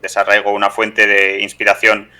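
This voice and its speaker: Male interviewer, young, Spanish accent from Spain. Very bright, forceful timbre, flat intonation, wordy and halting. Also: lowish voice, clean tone. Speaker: radio interviewer. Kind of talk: conversational interview questions.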